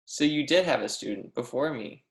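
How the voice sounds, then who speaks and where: A man's voice: mid-range voice, animated, normal speed, lightly acted. A man playing an eager, wide-eyed young mathematician student who questions insistently, in a readers theatre stage reading. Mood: curious. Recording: roomy, echoing sound.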